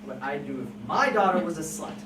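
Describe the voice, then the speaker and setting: A woman's voice: low voice, expressive, brisk. A woman playing a blunt, judgmental immigrant mother, a middle-aged doctor, in a script read live. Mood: opinionated, dismissive. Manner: chatty, opinionated, teasing. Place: live table read in a room with audience. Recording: echoey room from far off, very noisy.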